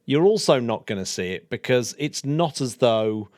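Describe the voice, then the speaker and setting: A man's voice: mid-range voice, expressive, normal speed, darkish tone, no echo. Curious man hosting, dry sound. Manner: curious, thinks aloud, repeats words. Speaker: podcast host. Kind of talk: casual science chat.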